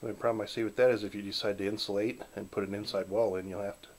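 A man's voice: fairly low pitch, racing. Folksy man giving a workshop tour. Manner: relaxed, folksy and chatty. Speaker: channel host filming a shop tour. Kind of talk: casual narrated shop tour. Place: small backyard wooden workshop.

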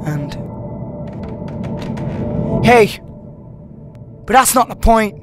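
A man's voice: sits high, animated, drawn-out words, heavily acted. A man acting an earnest, worried young man who senses danger in an amateur audio drama. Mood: earnest worry. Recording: loud music over the voice.

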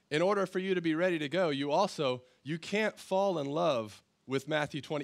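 Male pastor, thirties, high voice a bit rough from a cold, headset mic, conversational and emphatic. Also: fairly bright tone. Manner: conversational, repetitive, emphatic preaching. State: voice worn from a cold. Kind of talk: sermon. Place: church stage, indoors.

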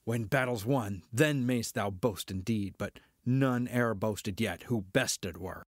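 An actor playing a cocky, boastful starship pilot, swaggering through archaic verse in an audio drama. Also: male voice, lowish voice, slow pace, no room sound, clean studio sound. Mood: bracing.

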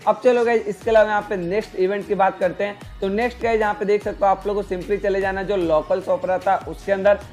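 A young man hosts a gaming explainer in a high-pitched voice. He talks straight to viewers, leaning on repeated filler phrases. Faint music plays behind him. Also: fairly even intonation, fast, hardly any pauses, a little mellow. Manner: repetitive, filler-heavy address to viewers. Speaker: gaming video host. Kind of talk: explainer narration of game events. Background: background music.

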